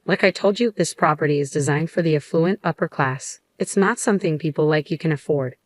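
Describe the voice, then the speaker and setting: Woman voicing an arrogant, scornful salesman, low-pitched. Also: fairly quick, dry recording. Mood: smug. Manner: scornful, dismissive. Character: arrogant, mocking male real estate salesman, snobbish bully.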